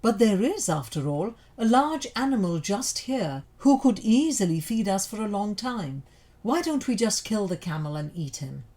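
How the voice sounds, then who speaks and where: A woman voicing a scheming, flattering male jackal who talks in a persuasive, wordy, formal way in a storybook. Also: mid-range voice, normal speed. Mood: pleading, scheming.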